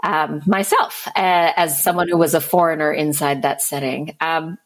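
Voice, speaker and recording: Low-voiced woman, relaxed guest. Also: moderate pace. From Philippines and Arkansas, USA. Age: about 40. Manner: relaxed, thoughtful, laughs often. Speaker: podcast guest, writer. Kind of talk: casual interview. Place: Australia, remote call.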